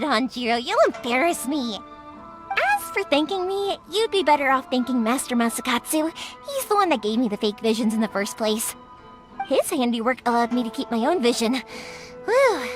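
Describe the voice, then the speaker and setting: A woman's voice: high-pitched, sing-song, moderate pace, music in the background. A bubbly fireworks girl. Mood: cheerful. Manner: bubbly, giggly, folksy phrasing. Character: cheerful young woman fireworks shop owner, playful and brave. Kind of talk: video game dub acting.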